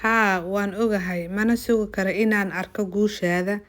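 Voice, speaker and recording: A woman's voice: medium pitch, steady tone, fairly quick. A native Somali-speaking woman teaching a language lesson, translating phrases and explaining individual words.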